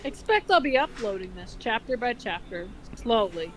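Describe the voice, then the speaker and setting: A woman's voice: on the high side, big pitch swings, normal speed. A woman reading aloud casually and comedically, breaking off for sarcastic, sweary commentary and drawling words for emphasis. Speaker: co-host of a comedic dramatic fanfiction reading. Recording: unevenly balanced amateur audio, crowd-like background noise.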